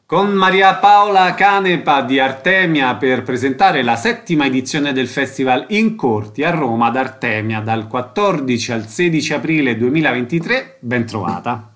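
A man's voice: medium pitch, animated, normal speed, hardly any pauses, a bit bright. A man hosting a radio show, reading scripted announcements and putting questions to a guest. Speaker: radio host.

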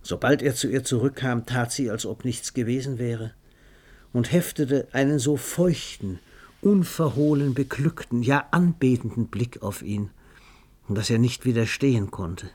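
Ein Mann erzählt in einem Hörspiel eine literarische Geschichte, ausdrucksvoll, genau und mit leiser Ironie. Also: male voice, medium pitch, a little slow, darkish tone, slightly rough. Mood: wry, vivid. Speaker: narrator. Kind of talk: scripted literary narration for a radio play.

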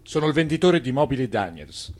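Radio play: blustering, irate merchant, mid register, emphatic and animated. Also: male voice, mid-range voice, brisk. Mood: angry. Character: irate, blustering furniture seller demanding payment. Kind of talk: theatrical radio play dialogue.

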